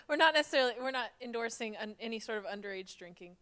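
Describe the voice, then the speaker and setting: A woman's voice: fairly high pitch, expressive, quick pace, a bit bright. A woman leading a panel discussion, upbeat and encouraging, laughing often.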